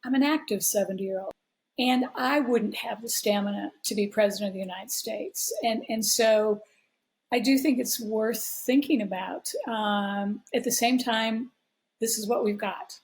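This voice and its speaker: A woman's voice: a little high, unhurried, a little mellow. A woman of seventy, a voter weighing both sides thoughtfully. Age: 70s. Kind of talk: interview clip.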